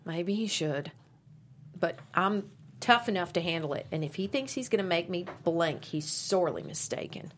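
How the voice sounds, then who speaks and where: A woman's voice: fairly low pitch, expressive, moderate pace, a little hiss or hum, natural voice. A woman reading the part of a tough but evasive middle-aged working mother at a script table read. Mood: weary, dismissive.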